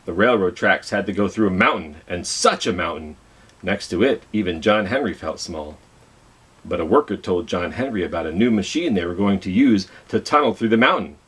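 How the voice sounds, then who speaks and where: Husky, animated male storyteller. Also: low-pitched. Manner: dramatic storytelling with questions to young listeners. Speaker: library storytime host reading a picture book. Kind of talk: expressive children's read-aloud.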